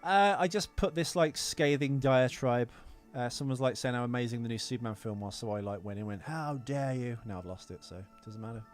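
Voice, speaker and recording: A man's voice: mid-range voice, brisk, few pauses, soft background music. A man co-hosting a podcast in casual, opinionated film chat, ranting emphatically and swearing freely. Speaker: podcast co-host.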